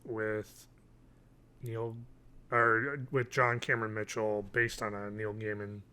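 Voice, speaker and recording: A man's voice: lowish voice, little pitch movement, slow pace, sharp tone, smooth. A man in his thirties, a podcast co-host chatting and joking casually about film and TV, with some swearing, close on a mic at home. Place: small home room, remote call.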